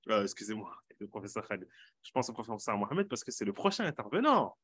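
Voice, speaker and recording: Man, host, lowish voice, chatty, corrects himself often. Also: sing-song, fast talker, fairly bright tone, no echo, studio quality. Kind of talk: hosting an online panel.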